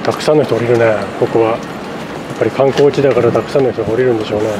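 A man's voice: medium pitch, steady tone, rapid-fire. A man narrating his travel vlog in casual chat, thinking aloud with lots of fillers as he walks around a city and eats. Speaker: travel vlogger. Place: outdoors on city streets and in a cafe. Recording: street noise behind, camera mic.

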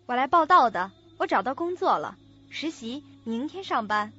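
An actress playing a moody, self-deprecating young office intern in a Chinese radio drama. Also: female voice, high voice, sing-song, normal speed, sharp tone, slightly rough, faint music behind, heavily acted. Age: young adult. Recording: dull lo-fi recording.

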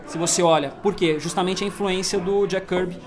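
Brazilian dude with a high voice, explaining stuff, lots of "right?", people chattering around. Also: male voice, fairly even intonation, a little fast, crowd noise. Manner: explanatory, adds lots of tag questions. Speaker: toy collector guest. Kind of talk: casual panel chat. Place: indoor room, seated at a table of toys.

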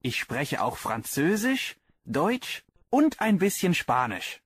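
A husky young man student, read by a man introduces himself slowly, with a lively, rising and falling pitch. Each model sentence is spoken with care. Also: heavily acted. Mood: careful. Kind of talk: slow scripted model sentences.

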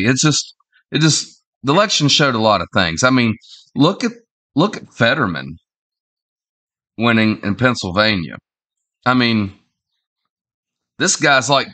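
A man in his forties with a head cold that makes him sound nasal, talking in a halting, rambling way with many fillers and restarts. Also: mid-range voice, sharp tone. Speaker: online commentator. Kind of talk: casual opinion vlog. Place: small indoor room at home.

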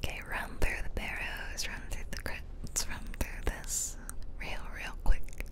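Slow whispering woman, gaming ASMR. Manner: casual, rambling, chatty. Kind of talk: whispered ASMR gameplay commentary. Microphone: close mic for ear-to-ear whispering.

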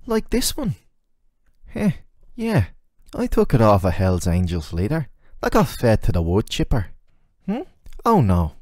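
A man's voice: medium pitch, darkish tone, dry recording, studio quality. A man voicing a flirty, sardonic, ageless male demon who teases the listener in casual, slangy, intimate roleplay audio. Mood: wry, joking.

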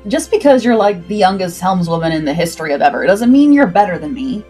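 A woman's voice: lowish voice, sing-song, quick pace, warm tone, soft background music, pitched below the performer's own voice. A woman voicing a cheeky, eager sixteen-year-old cabin boy with youthful, casual banter in a pirate story. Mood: teasing.

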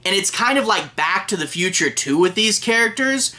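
Bright-voiced chatty man reviewing movies. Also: sits high, animated, moderate pace. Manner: chatty, enthusiastic. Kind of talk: casual film review to camera. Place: small room lined with DVD shelves.

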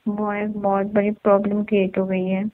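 A woman's voice: mid-range voice, completely flat delivery, moderate pace, soft background music. A woman chatting casually on a recorded phone call in a playful, teasing manner.

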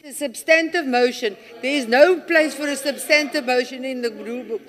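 A woman's voice: high voice, normal speed, fairly bright tone. A middle-aged woman sharply interjecting in a parliamentary debate. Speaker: member of parliament. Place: large parliament chamber, indoors.

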